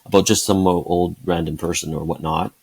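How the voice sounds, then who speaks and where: A man in his forties who is the guest in an interview, answering in a thoughtful, halting way. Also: low-pitched, steady tone, creaky, darkish tone, slightly rough. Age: 40s. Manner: thoughtful, halting, many fillers and restarts. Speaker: guest, sales leader.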